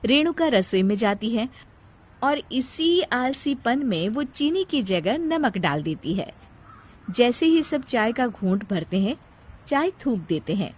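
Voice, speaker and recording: A woman's voice: fairly high pitch, sing-song, slow pace, a little mellow, husky. A woman narrating a scripted cartoon family story as storyteller.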